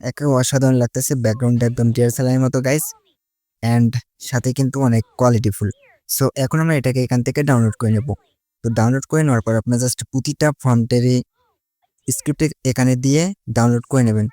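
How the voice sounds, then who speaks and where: A man's voice: medium pitch, steady tone, fast talker, warm tone, dry recording. A man giving a casual step-by-step tutorial, mixing in English words and leaning on repeated linking phrases. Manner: repetitive connective phrases, mixes English words into Bengali. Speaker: tutorial host.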